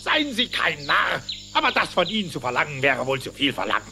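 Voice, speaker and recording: A man's voice: a little high, very animated, a little fast, creaky, bright, forceful tone, slight background noise, heavily acted. A man playing a crotchety, blustering old rich duck in a cartoon audio drama. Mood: scornful. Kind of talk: animated cartoon radio-play acting.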